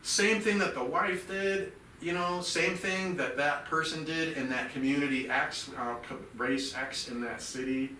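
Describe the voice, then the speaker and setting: A man's voice: on the high side, measured pace, bright, forceful tone, slight background noise. A middle-aged man telling his story as a podcast guest, earnest and rambling. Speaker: podcast guest, veteran nonprofit founder. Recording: roomy and distant from the mic.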